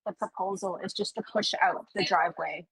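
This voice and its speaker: A woman's voice: on the low side, fairly even intonation, a little fast, vocal fry, fairly bright tone, slightly rough, no room sound, low-quality recording. A woman neighbor asking hesitant questions at a public planning hearing, with many fillers.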